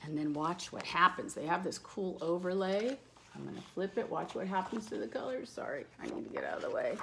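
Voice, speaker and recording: A woman reading a picture book aloud with expressive, dramatic emphasis, lingering on words and adding casual asides. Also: lowish voice, fairly quick, creaky, slight background noise, rough recording. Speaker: read-aloud storyteller.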